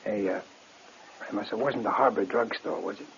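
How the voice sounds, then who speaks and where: Anxious, gravelly-voiced jail prisoner. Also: male voice, on the low side, moderate pace. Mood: anxious, probing. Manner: urgent, pleading, insistent questioning. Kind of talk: 1950s radio drama acting. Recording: muffled noisy old radio recording.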